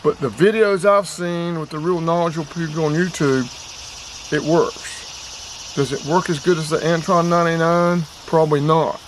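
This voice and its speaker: A man's voice: on the high side, steady tone, normal speed. Folksy man, noisy background. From North Carolina, US. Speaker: outdoors and radio hobby vlogger. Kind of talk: casual demonstration vlog. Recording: clear background ambient noise.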